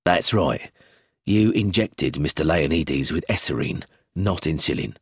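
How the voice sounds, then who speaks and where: A man voicing an abrupt, measured police chief inspector in an audiobook. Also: extremely low pitch, lively intonation, breathy edge, no echo, studio quality, pitched below the performer's own voice, heavily acted. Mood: calm. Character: calm, brisk male police chief inspector.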